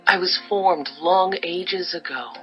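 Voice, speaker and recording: A woman's voice: on the low side, slow, dull audio, soft background music. An actress playing Wisdom as a solemn, commanding female spirit, in a stately, declamatory dramatized scripture reading. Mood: gracious, solemn.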